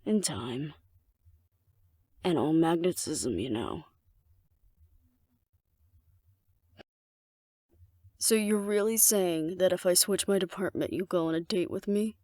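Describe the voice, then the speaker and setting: A woman's voice: on the low side, animated, moderate pace, dark tone, no echo, clean studio sound. A woman playing a cocky, flirtatious female alpha werewolf in an intimate audio roleplay, speaking to the listener in a wry, teasing, confident way.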